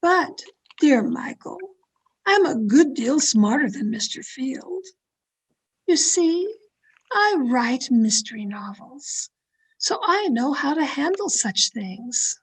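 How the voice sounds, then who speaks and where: A woman playing an obsessive, lovestruck mystery novelist in melodramatic old-time radio style, with pleading, deliberate delivery. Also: sits high, very animated, husky, exaggerated voice, poor audio. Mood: coolly scheming. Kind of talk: 1940s radio mystery acting and narration. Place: at home, online video-call performance.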